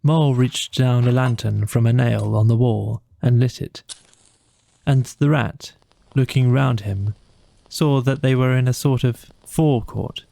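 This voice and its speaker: A man narrating a bedtime audiobook story from a script, with measured, deliberate phrasing. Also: mid-range voice, steady tone, vocal fry, warm tone, no echo.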